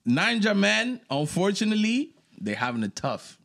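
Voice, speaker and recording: A man's voice: very animated, moderate pace, gravelly. Laid-back young man. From Dominican Republic. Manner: laid-back, swears freely, jumps between low mutters and loud laughing bursts. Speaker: podcast co-host. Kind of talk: casual chat.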